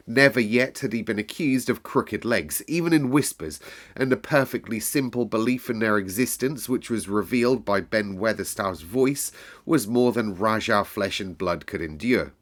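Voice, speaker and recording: Male narrator, lowish pitch, highly varied intonation, dramatic read-aloud, deliberate emphasis. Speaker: storybook narrator.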